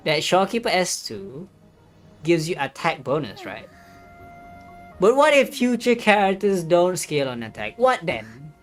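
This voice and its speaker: Animated, unhurried game streamer. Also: medium pitch. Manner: hesitant, halting, thinking aloud. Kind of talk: casual live gameplay commentary.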